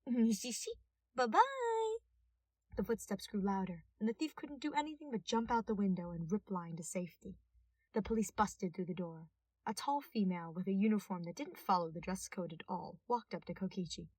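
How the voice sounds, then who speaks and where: A woman's voice: medium pitch, fairly quick, mellow, husky, dry recording, far from the mic, low-quality recording. A woman reading scripted fiction aloud as a narrator. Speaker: podfic narrator.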